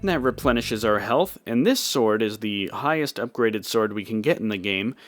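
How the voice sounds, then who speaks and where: A man's voice: fairly low pitch, expressive, normal speed. A man giving casual walkthrough commentary on a video game. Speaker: gameplay walkthrough host. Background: game music.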